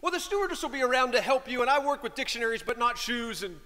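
A middle-aged man, a priest, telling a story in a sermon from the pulpit, animated and shifting between loud lines and hushed whispers. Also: extremely high pitch, fast talker. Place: large stone church, speaking from a pulpit. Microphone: pulpit microphone. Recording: long church reverb.

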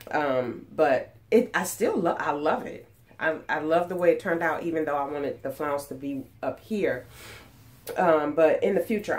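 A woman's voice: deep voice, darkish tone. A woman in her forties, congested and nasal from a cold, chatting casually about her sewing projects with lots of ums and restarts, recorded on a webcam at home. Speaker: sewing vlogger. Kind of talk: casual chat. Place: small room at home, indoors. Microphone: webcam at desk distance.

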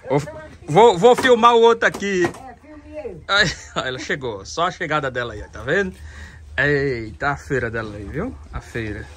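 A man's voice: a little high, animated, normal speed, noisy background. A man, vlogging outdoors in a chatty way and laughing often. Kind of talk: casual vlog narration. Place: outdoors, rural sertão and car.

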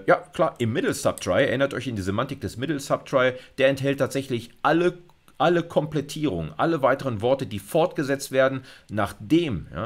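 Low-voiced middle-aged man lecturing. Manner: step-by-step explaining, frequent check-in words and English technical terms. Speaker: university computer science lecturer. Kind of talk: explanatory lecture with informal asides. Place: indoors, recorded video lecture.

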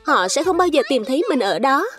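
High-pitched, self-important little strawberry pupil. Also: female voice, big pitch swings, brisk, fairly bright tone, faint music behind. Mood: determined, anxious. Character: vain, stubborn little strawberry pupil, a sore loser. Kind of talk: children's cartoon dubbing.

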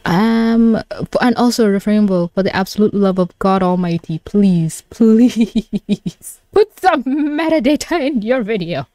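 A young adult woman doing casual live reaction commentary as a streamer, expressive and given to exclamations and swearing. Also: mid-range voice, unhurried, dry recording, clean studio sound.

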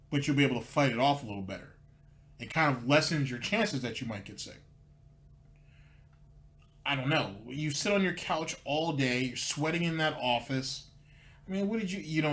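A man in the middle of the pitch range talks in a dry, sarcastic way. He fires back short, mocking replies to the clips he plays. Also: a bit bright. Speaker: commentary host. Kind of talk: sarcastic commentary.

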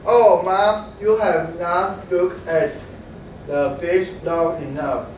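A young man playing an eager little frog child, reading his lines slowly in a readers' theatre. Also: high-pitched, fairly even intonation, slight background noise. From Taiwan. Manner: slow, deliberate reading from a script. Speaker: student reader. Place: large classroom, standing in a row. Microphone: far camera. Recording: very echoey classroom from far off.

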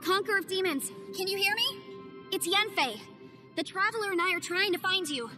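A woman's voice: very high-pitched, animated, fairly quick, over music. An actress playing a confident young woman legal adviser, earnest and reasoning things out aloud. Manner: analytical, earnest, persuasive.

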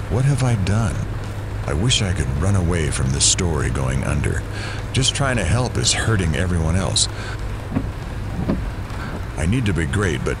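A man's voice: sits low, heavy background noise, heavily acted. A man playing a weary, wry investigator in an audio drama, sardonic and brooding. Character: weary, wry male investigator haunted by doubt. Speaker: lead character of an audio drama.

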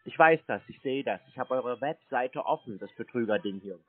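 A man's voice: medium pitch, lively intonation, fairly quick. A man in an unscripted phone argument, stubbornly contradicting the other side and repeating his accusations. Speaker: prankster confronting phone scammers. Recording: dry, narrow phone-like band.

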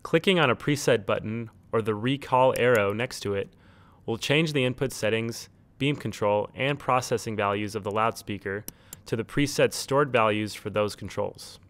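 A man reading a scripted instructional tutorial in a measured, neutral, step-by-step way. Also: fairly low pitch, fairly bright tone. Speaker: tutorial narrator. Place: close, dry studio-style voice-over recording.

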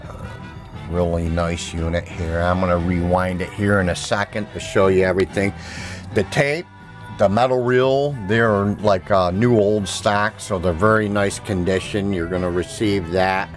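A man's voice: sits low, steady tone, normal speed, music in the background. A middle-aged man giving a casual, plainspoken product demo with repetitive sales patter, recorded on a handheld camera indoors. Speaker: seller demonstrating used audio gear. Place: indoor room at home, music playing behind. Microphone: handheld camera mic, speaker behind camera.

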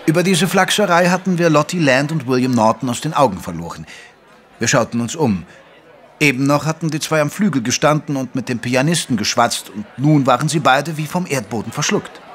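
Actor as genteel doctor narrating a mystery. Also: male voice, medium pitch, lively intonation, a bit bright, close to an everyday voice. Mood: genial. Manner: measured, literary storytelling. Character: genteel Victorian doctor sidekick, good-natured man. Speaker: narrator and sidekick doctor in audio drama. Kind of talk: scripted narration, German audio drama.

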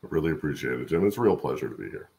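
Really deep gravelly american guy chatting as a guest on a video call. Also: animated, very fast, dark tone. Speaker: guest, supply chain executive. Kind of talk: conversational interview answers. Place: room at home on a video call.

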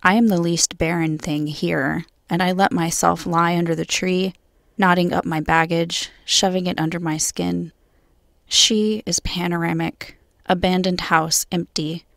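Woman, guest poet, poetry reading, lowish pitch, gravelly creak, measured and solemn. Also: steady tone, fairly bright tone, dry recording, studio quality.

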